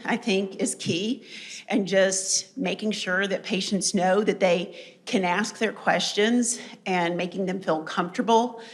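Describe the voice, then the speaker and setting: A woman clinician with a loud voice, giving direct, practical advice in a panel discussion. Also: mid-range voice.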